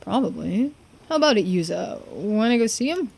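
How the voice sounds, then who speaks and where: A woman's voice: mid-range voice, big pitch swings, moderate pace, creaky, darkish tone, husky, heavily acted. A woman voicing an easygoing, cocky young diver in a game, laid-back and slangy.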